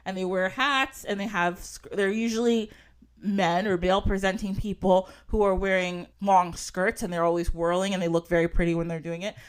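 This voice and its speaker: A woman hosting a podcast, talking in a chatty, casual way with lots of fillers and frequent giggles, sometimes reading from an article. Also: medium pitch. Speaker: podcast host.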